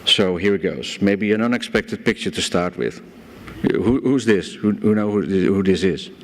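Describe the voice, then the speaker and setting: A man's voice: lowish voice, lively intonation, normal speed. A man from the Netherlands with a Dutch accent, speaking to a conference audience and telling stories with a light, self-deprecating humor. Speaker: guest speaker. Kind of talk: conference presentation. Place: conference hall. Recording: hall reverb from a lecture room.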